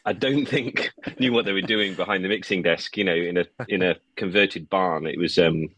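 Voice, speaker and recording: A man's voice: sits low, moderate pace, fairly bright tone, breathy edge, no room sound. An English man in his early forties, a musician guest chatting loosely in an interview, full of restarts and "you know". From England. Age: early 40s. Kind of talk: casual interview chat.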